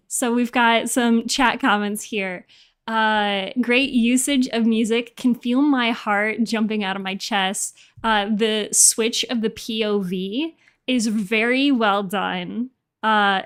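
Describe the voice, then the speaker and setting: A woman hosting a livestream, chatting casually and reading out comments in a halting, polite way. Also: medium pitch, smooth. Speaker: livestream host.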